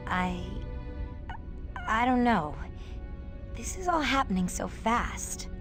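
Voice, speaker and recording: A woman's voice: fairly high pitch, animated, slow pace, a bit bright. A woman playing a curious, hesitant teenage newcomer in a game cutscene, with a questioning, unsure manner. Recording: music behind the voice.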